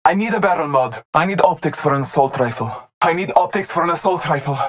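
An actor voicing a solemn, devout hunter in a video game, giving short callouts in a measured, formal, stoic manner. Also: medium pitch, expressive, background noise, natural voice. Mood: calm, businesslike. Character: solemn, devout masked hunter, calm and reverent.

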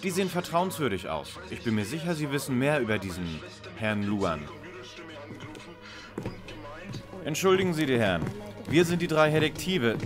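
A man's voice: mid-range voice, sing-song, a little fast, natural voice. A man plays an articulate, wry young detective leader in a parody audio drama. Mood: wry, composed. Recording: long reverb, music behind.

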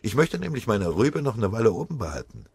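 A man's voice: lowish voice, sing-song, quick pace, a little mellow, husky, no room sound, voice pushed up, full character voice. A man playing a cocky, clever army combat engineer in a war audiobook, with a cheeky, casual way of talking.

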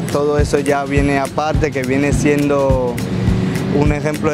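Hesitant man, slightly high voice. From Dominican Republic. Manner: halting, searching for words. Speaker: interviewee. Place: city street outdoors.

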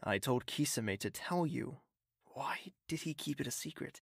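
A man playing a wealthy, smitten, possessive young nobleman in an intimate audio drama, speaking in a husky, teasing, courtly way. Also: mid-range voice, animated, normal speed, no echo, studio quality. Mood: assured, devoted. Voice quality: husky, breathy, creaky.

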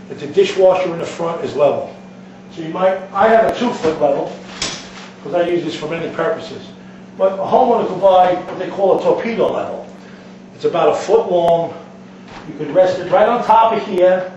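High-voiced man teaching DIY in an echoey room. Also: darkish tone. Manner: plain-spoken step-by-step explaining. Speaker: plumber instructor. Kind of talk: hands-on DIY demonstration. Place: indoors in a home kitchen. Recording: roomy echo, distant mic, dull lo-fi, noisy.

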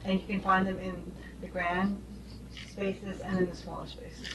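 Female board member, medium pitch, informal discussion, picked up at a distance in a noisy room. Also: little pitch movement, measured pace. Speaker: board member at a review meeting. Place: small meeting room, indoors. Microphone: distant room camera.

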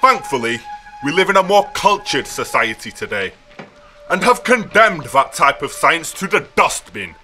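Man acting a high-pitched, theatrical science teacher. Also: very animated, sharp tone, faint music behind, heavily acted. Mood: grandly lecturing. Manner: declamatory, deliberate, rhetorical pauses. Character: stern, theatrical male science teacher lecturing a class. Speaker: actor. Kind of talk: radio play acting, lecturing.

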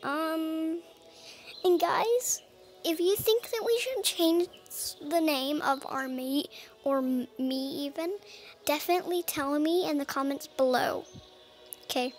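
A child hosting a gaming video, chatting casually and playfully in character while playing. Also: high voice. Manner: playful, talking to self in character. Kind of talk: casual role-play game commentary. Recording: music behind the voice. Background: game music.

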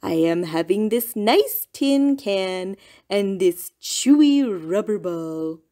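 A woman voicing a calm, polite male goat who speaks loudly and formally. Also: mid-range voice, slow.

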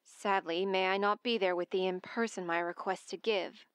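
A woman's voice: mid-range voice, fairly even intonation, normal speed, clear, resonant tone, no echo, studio quality, natural voice. Woman playing an earnest noble young princess. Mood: earnest, pleading. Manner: formal, earnest. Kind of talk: Shakespearean dramatic reading.

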